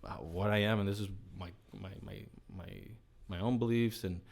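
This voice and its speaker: A man whose first language is Spanish talks with a somewhat low voice in a casual podcast chat. He explains things eagerly and with animation, piling on quick asides. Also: fairly even intonation, gravelly, darkish tone. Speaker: company executive guest.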